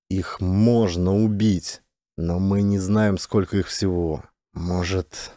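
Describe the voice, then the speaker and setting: A male narrator reads as a grim scientist on a space expedition. His voice is low and mellow, sombre and deliberate, as in an audiobook. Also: dry recording, clean studio sound.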